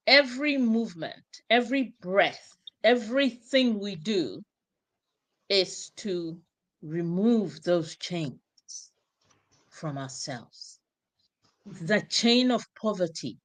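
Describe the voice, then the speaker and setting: A woman from Ghana hosting an online discussion show, speaking in a slow, emphatic, exhorting way. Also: medium pitch. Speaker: talk show host.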